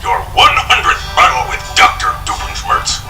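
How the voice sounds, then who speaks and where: A man's voice: moderate pace, roomy sound, far from the mic, close to an everyday voice. A man voicing a middle-aged spy agency commander in a cartoon story, giving a formal briefing. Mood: proud, official. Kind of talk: cartoon acting. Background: music.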